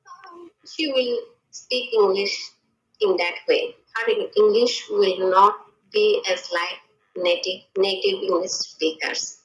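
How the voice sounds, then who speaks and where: Bangladeshi-accented woman, guest, bright clear tone, hesitant fillers, echoey room. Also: mid-range voice, slow. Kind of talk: unscripted conversation.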